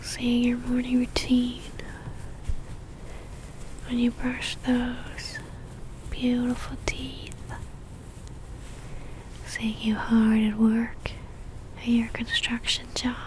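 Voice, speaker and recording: A woman plays a lovestruck female vampire stalker in an ASMR roleplay, whispering softly and breathily right up at the mic. Her voice is high and barely rises or falls, moving slowly and intimately as she coaxes with possessive tenderness. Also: slight background noise, low-quality recording. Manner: slow, intimate, coaxing. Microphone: close ASMR microphone.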